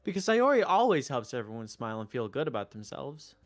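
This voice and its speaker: Male game streamer and visual novel narrator, average pitch, light music bed. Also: big pitch swings, a little fast. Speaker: streamer, narrator and player character. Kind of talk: voiced visual novel playthrough.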